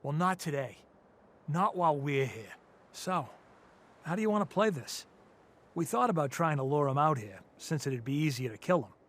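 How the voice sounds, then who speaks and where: A defiant militia fighter in a video game. His voice sits fairly high for a man, and he speaks bluntly and colloquially, combative and suspicious. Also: animated, moderate pace, fairly bright tone. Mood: angry, urgent.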